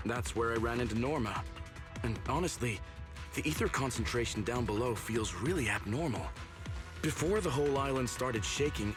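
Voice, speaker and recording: A man's voice: medium pitch, close to an everyday voice. A voice actor playing a friendly, easily flustered young man in a game dub. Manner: apologetic, flustered. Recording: game audio over music.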